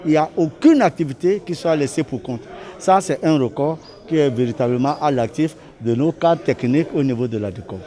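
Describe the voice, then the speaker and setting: Higher-voiced, mellow Beninese man giving a statement. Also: fairly quick. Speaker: association official. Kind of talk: news interview statement.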